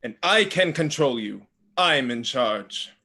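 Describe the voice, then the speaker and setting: A man's voice: medium pitch, expressive, takes it slowly, bright, forceful tone, full character voice. A man playing a domineering eldest-brother god of the underworld, condescending and threatening, in a staged play reading.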